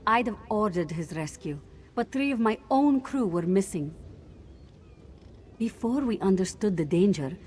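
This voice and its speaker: A woman's voice: medium pitch, darkish tone, close to an everyday voice. An actress playing a composed, commanding female privateer captain in a fantasy video game. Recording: game music behind the voice.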